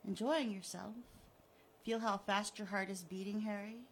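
A woman voicing a sadistic man who taunts his victim in a soft, mocking, drawn-out way. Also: medium pitch, fairly even intonation, a little hiss or hum, close to an everyday voice. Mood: taunting. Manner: mocking, softly menacing, drawn out. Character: gloating sadistic male killer, taunting.

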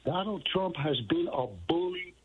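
A man's voice: high-pitched, moderate pace, a little hiss or hum. A man in his sixties from Puerto Rico, calling in to a radio talk show and telling a long story in an earnest, rambling way with many fillers. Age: 60s. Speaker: caller. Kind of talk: phone-in call. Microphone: phone line. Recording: tinny phone line, husky.

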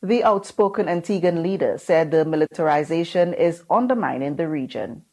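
A woman reporter narrating a scripted news item in a crisp, neutral delivery. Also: low-pitched, lively intonation, normal speed, warm tone.

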